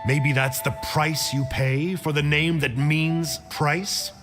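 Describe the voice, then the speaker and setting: A man's voice: fairly high pitch, fairly even intonation, slow, over music. A man voicing a bookish, sly sorcerer in a video game, with an erudite, smug and theatrical delivery. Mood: needling. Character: bookish, sly male Abyss mage, smugly scholarly. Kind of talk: video game character acting.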